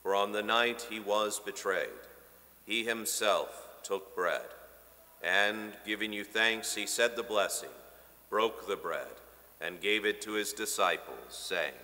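A man in his thirties, a priest, reciting the Eucharistic Prayer at Mass in a measured, solemn way from the altar of a marble church. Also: on the low side, pushed and bright. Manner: solemn, measured recitation with slowed key phrases. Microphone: stand microphone at the altar. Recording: long church reverb.

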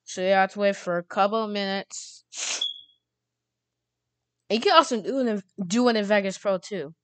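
A woman hosting a casual software tutorial, speaking in a halting, drawn-out way. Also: mid-range voice, dry recording. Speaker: tutorial host.

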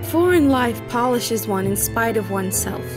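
Actress as genteel young period lady, proud and proper, dry delivery, highish voice. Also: animated, normal speed, music in the background, close to an everyday voice. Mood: composed, dry. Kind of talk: audio drama acting.

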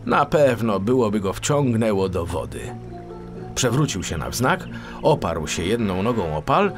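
A man narrating a scripted children's story expressively, as a storyteller. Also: mid-range voice.

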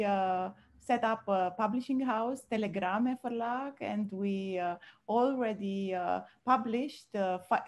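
A woman's voice: medium pitch, a little mellow. A woman in her forties from Romania, answering interview questions in hesitant over a video call. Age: 40s. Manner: hesitant, halting non-native English. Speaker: interview guest, novelist. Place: indoor room with bookshelves, on a video call. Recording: slightly dull video-call audio.

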